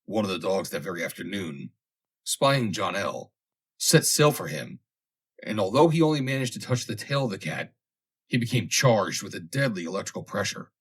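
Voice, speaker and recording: A man reads a comic vintage story aloud as scripted narration, in a measured, dramatic storytelling manner with deliberate pauses. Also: on the low side, sing-song, husky. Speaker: audiobook reader.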